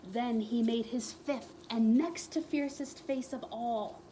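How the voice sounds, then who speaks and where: A woman reading a children's book aloud as a storyteller, expressively, with dramatic pauses and emphatic repetition. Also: a little high, steady tone, mellow, slight background noise.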